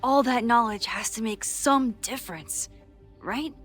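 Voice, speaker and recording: An actress voicing an earnest, worried young woman in a naturalistic video game drama, speaking in a measured, hesitant way. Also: a little high, a bit bright, faint music behind. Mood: insistent. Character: earnest, worried young woman, determined investigator with time-rewind knowledge.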